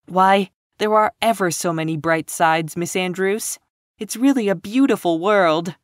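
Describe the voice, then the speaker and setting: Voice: mid-range voice, a little slow, no echo, clean studio sound, voice pushed up, natural voice. A narrator voicing an eager, idealistic sixteen-year-old girl in a storybook, earnest, effusive and pleading. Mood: ardent. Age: teens.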